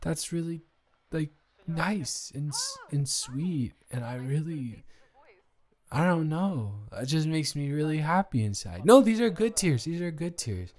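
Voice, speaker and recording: A man's voice: a little high, sing-song, unhurried, a little mellow. A man playing a drunk young roommate sincerely confessing love in an intimate roleplay audio drama, stammering and rambling as he speaks to the listener. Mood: teary, happy. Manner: stammering, rambling, repeating words, tipsy.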